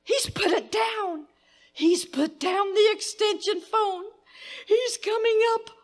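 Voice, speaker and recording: A woman's voice: very high voice, slow pace. A woman playing a terrified woman phoning for help. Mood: panicked. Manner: frantic, pleading, sobbing. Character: terrified invalid woman alone on the phone. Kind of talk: 1940s radio acting. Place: live stage before a dinner crowd.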